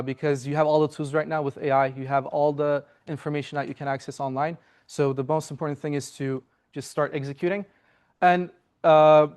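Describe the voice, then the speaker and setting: A young man from Turkey gives an earnest, informal presentation to a student club, pausing often on "uh". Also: fairly high pitch, smooth. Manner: earnest and explanatory, with frequent "uh" fillers.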